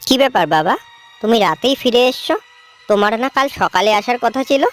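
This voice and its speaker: A woman's voice: a little high, lively intonation, brisk, faint music behind, close to an everyday voice. A woman voicing a rude, greedy, jealous elder daughter in a cartoon story, with a bossy, snippy manner. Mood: uneasy.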